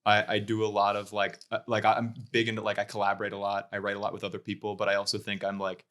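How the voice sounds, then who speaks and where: A man with a lowish voice in casual conversation. He sounds like a podcast guest thinking things through aloud, reflective and wandering between half-finished thoughts. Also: monotone, quick pace, a bit bright. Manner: reflective, meandering. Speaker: podcast guest, comedy writer. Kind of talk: casual chat.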